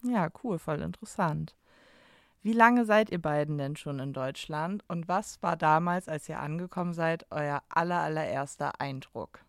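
A young woman hosts an interview podcast, asking her guests questions in an encouraging manner. Her voice sits low, recorded dry and close. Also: moderate pace, no room sound. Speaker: podcast host, university staff.

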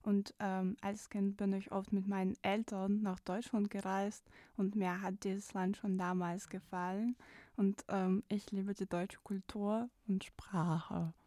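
Slow, careful young woman from Moscow. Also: mid-range voice, no room sound, studio quality. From Moscow, Russia. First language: Russian. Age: 20s. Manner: calm, careful, with soft fillers. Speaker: guest, international student. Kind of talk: podcast interview.

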